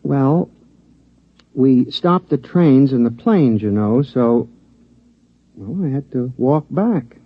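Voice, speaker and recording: A man's voice: mid-range voice, lively intonation, slow pace, pauses often, dry recording. A man voicing a weary, matter-of-fact talking mouse in a casual, understated way for a 1950s radio drama. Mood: weary, sheepish. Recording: dull vintage radio recording.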